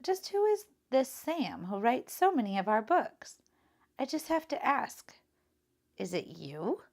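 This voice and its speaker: A woman's voice: a little high, sing-song, a little slow, vocal fry, pitched above the performer's own voice, heavily acted. A woman voicing a kindly, enthusiastic librarian in a children's storybook, speaking in an encouraging, emphatic way. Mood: curious. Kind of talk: children's storybook read-aloud.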